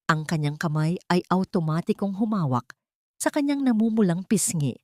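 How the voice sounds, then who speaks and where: A woman narrating a scripted drama in a solemn, deliberate, dramatic storytelling manner. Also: deep voice, warm tone, dry recording, clean studio sound.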